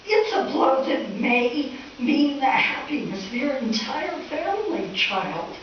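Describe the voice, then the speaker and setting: A woman's voice: high voice, very animated, takes it slowly, exaggerated voice. A woman playing an earnest, blithely scatterbrained married lady in a live radio comedy. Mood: earnest coaxing. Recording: very echoey hall, distant, dull, hissy.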